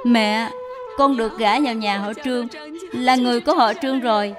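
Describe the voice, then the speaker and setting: A woman voicing a gentle, devoted young widow in a dubbed animated moral tale, speaking earnestly and deferentially. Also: a little high, a little fast, close to an everyday voice. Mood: tender, pleading. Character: gentle, devoted young widow caring for her mother-in-law. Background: background music.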